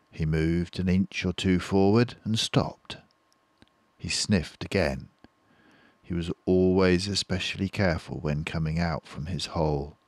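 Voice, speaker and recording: An older man narrating a children's story in a measured, careful and suspenseful way. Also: low-pitched, no room sound. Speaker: storybook reader.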